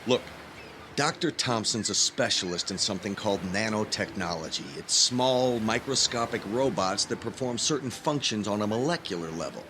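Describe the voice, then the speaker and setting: A man's voice: on the low side, expressive, normal speed, close to an everyday voice. Man acting earnest young grad student, noisy ambience. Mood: earnest, explanatory. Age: young adult. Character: earnest, nervous young male graduate student activist. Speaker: actor in a radio drama. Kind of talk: naturalistic radio drama dialogue.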